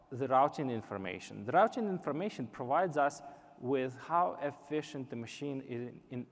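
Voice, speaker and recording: A young man giving a live product demo on a conference stage, explaining earnestly with some restarts. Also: mid-range voice. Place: large indoor conference stage. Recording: reverberant conference hall.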